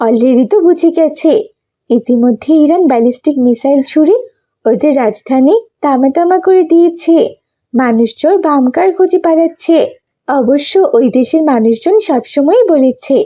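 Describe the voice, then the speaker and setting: Woman voicing a high, mellow excited little girl. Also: dull audio, close to an everyday voice. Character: excited little refugee girl bringing good news. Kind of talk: cartoon story dialogue.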